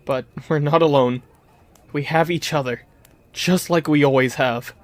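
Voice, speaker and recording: A young man playing a warrior who is grieving his father, speaking in a steady, quietly reassuring way. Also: fairly high pitch, measured pace, no room sound, natural voice. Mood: somber.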